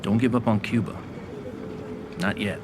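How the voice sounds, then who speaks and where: A man's voice: fairly low pitch, steady tone, unhurried, close to an everyday voice. A man playing a discreet, persuasive government agent in a crime game, speaking with restraint. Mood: restrained, persuasive. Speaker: video game character actor. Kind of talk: cinematic crime drama acting. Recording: noisy outdoor ambience in game audio.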